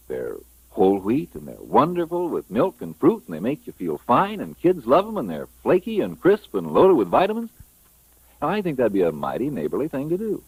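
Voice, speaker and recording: A man's voice: fairly low pitch, a little mellow, husky, dry recording, rough recording. An American man delivering a vintage radio sponsor spot in a folksy, confiding, chatty way. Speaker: radio advertising announcer.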